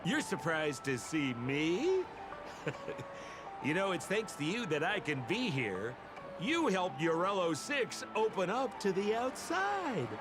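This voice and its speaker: An actor playing a sly, smooth-talking young swindler in a video game, flamboyant and ingratiating like an oily salesman. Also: sits high, sing-song, takes it slowly, full character voice. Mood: gushing, sly. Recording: music behind the voice.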